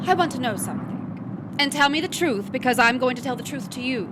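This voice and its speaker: An actress playing an anxious, intense woman in love, earnest and halting, in an old-fashioned melodramatic stage style. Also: high voice, animated. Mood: anxious, searching. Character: Cora, anxious, intense woman lover, wary and weary. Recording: noisy live online stage recording.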